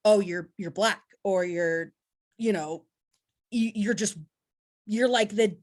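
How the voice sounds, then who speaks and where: A woman's voice: medium pitch, slow, darkish tone. A woman taking part in a workshop video call, speaking hesitantly and searching for her words. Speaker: workshop participant. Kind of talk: casual sharing on a video call.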